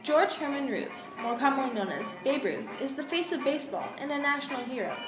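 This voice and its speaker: A woman's voice: high-pitched, animated, a little fast. A young woman reading scripted newsreel narration as a student presenter. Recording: roomy echo, music under voice. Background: clearly audible music.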